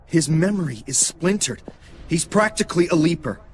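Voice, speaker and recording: Guy playing game mission handler, urgent and focused, highish voice, bit of noise behind. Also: animated, moderate pace, natural voice. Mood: urgent, instructing. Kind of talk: video game cutscene acting.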